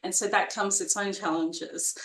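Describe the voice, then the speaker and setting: A woman's voice: medium pitch, steady tone, unhurried, a bit bright. Australian woman in an echoey room. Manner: chatty, with frequent fillers and restarts. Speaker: guest perioperative nurse. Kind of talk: casual interview conversation.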